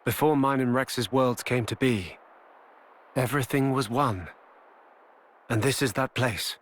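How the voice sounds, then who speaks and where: An actor playing a grave, knowledgeable veteran hero in a video game cutscene, speaking deliberately. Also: male voice, mid-range voice, natural voice. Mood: solemn. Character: solemn, knowledgeable veteran male hero explaining grave things.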